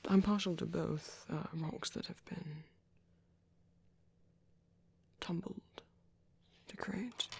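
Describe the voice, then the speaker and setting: A man voicing a gentle, caring vampire priest in an ASMR roleplay, speaking in a soft, breathy whisper with unhurried, hesitant pauses. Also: a little high, fairly even intonation, dry recording, full character voice. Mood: soothing, reflective. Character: gentle, caring male vampire priest comforting a little one. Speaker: ASMR performer.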